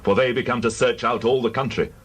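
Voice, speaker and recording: A man's voice: mid-range voice, steady tone, quick pace, slightly rough, close to an everyday voice. An actor playing a stern, commanding ancient king, authoritative in a dramatized Bible.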